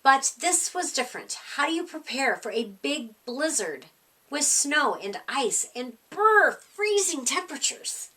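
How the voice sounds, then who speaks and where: Bright, high-voiced woman reading a storybook. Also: a little slow, distant mic, poor audio. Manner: animated, emphatic delivery for young listeners. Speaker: storyteller reading a children's picture book. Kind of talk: expressive read-aloud storytime narration.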